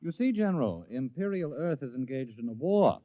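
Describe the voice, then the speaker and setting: A man's voice: medium pitch, big pitch swings, moderate pace, dry recording, lightly acted. Man as a swooping, suave scheming political advisor. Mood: oratorical, persuasive. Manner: suave, insinuating. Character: smooth, scheming imperial political advisor, cynical and patient. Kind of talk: 1950s radio drama acting. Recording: very narrow, tinny old radio recording.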